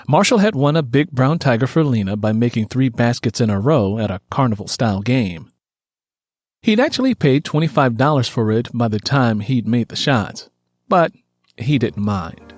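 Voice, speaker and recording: A man reading a story as a scripted fiction narrator, in an expressive storytelling manner. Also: medium pitch, normal speed, dry recording, studio quality. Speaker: audiobook narrator.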